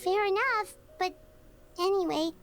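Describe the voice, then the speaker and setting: A woman voicing a tiny, chirpy, excitable female companion in a game who speaks of herself in the third person. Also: unhurried. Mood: excited. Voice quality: squeaky, bouncy, refers to herself in third person. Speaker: game character. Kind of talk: game cutscene dialogue. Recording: game audio with music behind.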